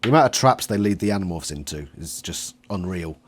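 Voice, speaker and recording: A British man chatting casually as an interviewer, jokey and quick to swear. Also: low-pitched, expressive. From Britain.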